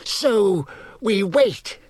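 High, icy, menacing dark lord. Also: male voice, expressive, drawn-out words, no echo, full character voice. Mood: scornful, impatient. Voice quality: high-pitched, icy cold. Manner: imperious, commanding, contemptuous. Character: cold, menacing, high-voiced male dark lord, weary and imperious.

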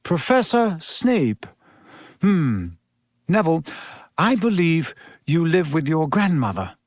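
Storybook schoolmaster played by a male reader: kindly, encouraging, patient, raised pitch with a lively swing. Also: measured pace, no echo, clean studio sound. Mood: cheerful, thoughtful. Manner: patient, cheerful instructing. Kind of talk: audiobook character voice.

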